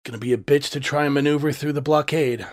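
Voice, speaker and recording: A man playing a loyal, gruff smuggler pilot sidekick in an audio drama, casual and blunt. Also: a little high, steady tone, quick pace, close to an everyday voice. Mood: wry, blunt.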